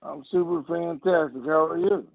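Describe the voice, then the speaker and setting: A man with a fairly high, gravelly voice hosts a call-in line. He talks casually in a plain, folksy way. The sound is narrow and dry, like a telephone. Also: moderate pace, no room sound. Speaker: host of a call-in conference call. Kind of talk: casual unscripted phone talk with callers. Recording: muffled narrow phone line.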